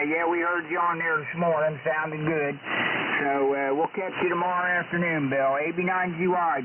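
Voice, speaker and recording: Slightly high male voice on crackly radio. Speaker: amateur radio operator. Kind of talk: casual radio chat. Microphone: radio transmission. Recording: narrowband ham radio with clear static.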